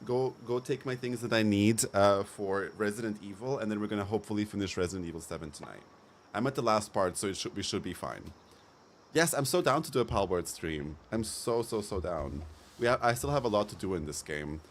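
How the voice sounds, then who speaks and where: A man's voice: on the low side, animated, a little fast, a little hiss or hum. A young man live-streaming a game, chatting casually in a campy, playful way and laughing often. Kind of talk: casual live-stream chat over gameplay.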